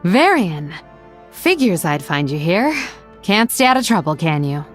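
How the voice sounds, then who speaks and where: Sardonic female elf assassin, game character, teasing and theatrical, very expressive pitch, music underneath. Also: unhurried. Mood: wry. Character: sly, sardonic young-looking female elf rogue assassin. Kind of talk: video game character voice lines.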